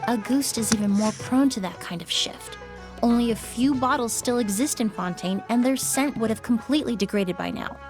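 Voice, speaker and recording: Woman as courteous young expert, analytical, slightly high voice, lively intonation, faint music, video game. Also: moderate pace, natural voice. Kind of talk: video game character acting.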